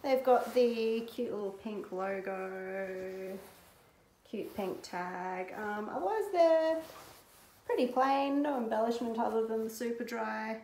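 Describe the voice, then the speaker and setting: A woman's voice: a little high, slow, a little mellow, echoey room, a little hiss or hum. A young Australian woman casually describing thrifted clothes for a vlog in an easygoing way. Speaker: vlogger and reseller. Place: car, then a small living room. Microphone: phone camera a bit away.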